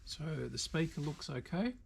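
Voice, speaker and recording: An Australian man giving casual, unscripted hands-on repair commentary, halting now and then as he thinks aloud. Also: a little high, big pitch swings, creaky, a little hiss or hum. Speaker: video host, radio repairer. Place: indoor workshop.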